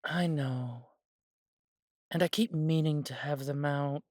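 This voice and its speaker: Measured, close-sounding man voicing a humble elf brother. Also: on the high side, steady tone, no echo. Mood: easygoing. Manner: measured, slightly formal phrasing with sighs and pauses. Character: warm, humble elf brother without magic, devoted to family. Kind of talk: scripted fantasy audio roleplay.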